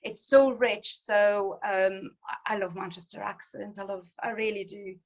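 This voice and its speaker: A woman's voice: mid-range voice, steady tone, a little slow, lo-fi sound. A woman in her fifties, a native French speaker with an Alsatian French accent, telling of her life with languages in a hesitant, restarting way. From France. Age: 50s. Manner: hesitant, many restarts and ums, laughs at herself. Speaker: guest speaker. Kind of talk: personal talk on language.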